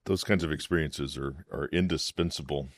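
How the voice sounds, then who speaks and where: A man with a very low voice hosts an academic interview. He asks his questions in a measured, encouraging way. The sound is dry and close. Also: no echo. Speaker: podcast host, professor.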